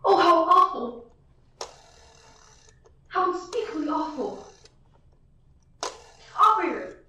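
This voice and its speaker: A woman's voice: extremely high pitch, very animated, takes it slowly, sharp tone, a little hiss or hum, full character voice. A girl playing a nervous, sickly woman who frets and pleads on the phone. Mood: anxious. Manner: anxious, pleading, fretful. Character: nervous invalid woman, frightened caller. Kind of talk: student radio play acting. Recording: distant echoey lo-fi recording.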